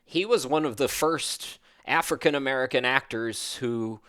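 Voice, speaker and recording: A man's voice: medium pitch, normal speed, sharp tone, clear, resonant tone. A man hosting a podcast, chatting casually and wandering from one thought to the next. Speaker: podcast host.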